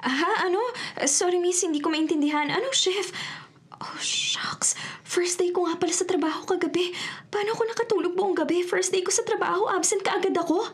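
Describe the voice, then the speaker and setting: A woman's voice: extremely high pitch, a little fast. An actress playing a shifty, bewildered young woman with a Filipino accent in a radio drama. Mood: confused.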